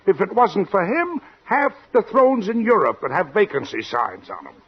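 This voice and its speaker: An actor playing a brash, slangy American press agent who cajoles and wisecracks in a 1940s radio comedy. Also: male voice, high-pitched, very animated, moderate pace, husky, full character voice. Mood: wisecracking, cajoling. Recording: dull old radio recording.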